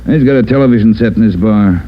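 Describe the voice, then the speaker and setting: A man playing a brisk, gruff American police chief, lowish in pitch and businesslike. The vintage radio drama sound is muffled, with faint hiss. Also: close to an everyday voice. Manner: clipped, businesslike. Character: brisk, gruff male police chief briefing his men. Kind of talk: 1940s-50s radio drama acting.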